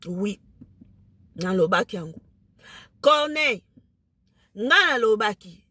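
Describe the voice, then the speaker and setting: A woman with a Lingala accent, a native Lingala speaker, talking casually to camera, emphatic and excited, switching into French. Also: mid-range voice, takes it slowly, no room sound. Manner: emphatic, excited, mixes French into her speech.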